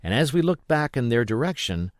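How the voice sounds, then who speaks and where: A man reading an adventure novel aloud as expressive scripted narration. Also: medium pitch, a little fast, dry recording, clean studio sound. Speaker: audiobook reader.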